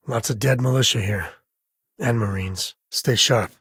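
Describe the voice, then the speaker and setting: An actor playing a laid-back, sardonic space ranger and devoted father in a video game, with a folksy, wry way of talking and a husky, gravelly voice. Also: medium pitch, measured pace, fairly bright tone, dry recording, studio quality. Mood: serious, wary. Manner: folksy, wry, drawling informal speech. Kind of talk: video game companion dialogue.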